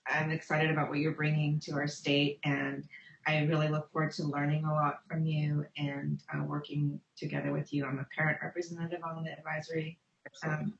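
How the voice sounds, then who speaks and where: A woman's voice: deep voice, monotone, brisk, creaky, slight background noise. Friendly woman in a roomy call. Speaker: parent representative commissioner. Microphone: video call. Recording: roomy, dull video call audio.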